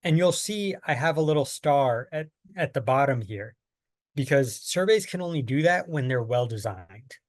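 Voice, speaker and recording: A man's voice: medium pitch, expressive, normal speed, no room sound, clean studio sound. A man from the United States presenting a training lesson on survey design, explaining patiently with plain everyday examples. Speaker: workshop presenter. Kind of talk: online training lecture.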